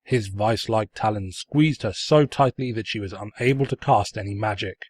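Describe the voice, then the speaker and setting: A man's voice: on the low side, moderate pace, a little mellow, dry recording, natural voice. A man playing a sarcastic, pompous narrator who mockingly tells a comic fan fiction story. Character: snide, mocking male storybook narrator who insults the heroine.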